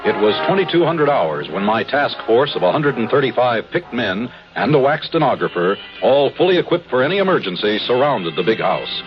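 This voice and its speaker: Actor as a formal, animated army colonel. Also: male voice, mid-range voice, a little fast, few pauses, natural voice. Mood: dry, measured. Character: firm, courteous male army lieutenant colonel telling his story. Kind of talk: 1950s radio drama narration and dialogue. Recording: dull 1950s radio broadcast with music.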